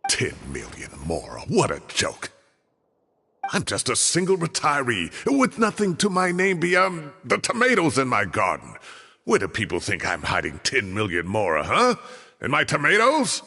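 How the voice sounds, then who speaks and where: A genial old retired professor grumbles and muses theatrically. His voice is low and husky with heavy gravel, and it swings very widely in pitch, as in a game cutscene with long reverb. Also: huge pitch swings, normal speed, bright, forceful tone, a little hiss or hum, full character voice. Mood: indignant. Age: older. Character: genial, exasperated old retired male scholar. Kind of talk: video game voice acting.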